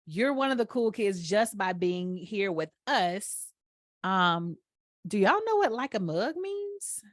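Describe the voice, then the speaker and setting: A woman's voice: mid-range voice, sing-song, takes it slowly, dry recording, clean studio sound. A woman from Atlanta with a Southern accent, co-hosting a podcast in casual chat, playful and laughing as she explains with examples. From Atlanta, USA. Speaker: podcast co-host.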